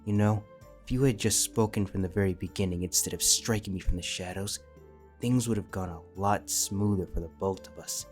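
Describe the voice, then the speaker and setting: Guy doing a wry fantasy slayer, low voice, casual and reasonable, faint music, audio story. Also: fairly quick, darkish tone, lightly acted. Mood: wry reasoning. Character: wry, diplomatic armed adventurer facing a dragon. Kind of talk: fan fiction audio drama acting.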